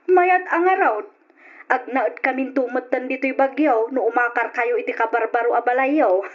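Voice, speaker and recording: A woman's voice: high voice, normal speed, close to an everyday voice. An actress playing a gambling, cheerfully greedy older mother with an Ilocano accent in an old-style radio drama. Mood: prying, gleeful. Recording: dull lo-fi radio sound.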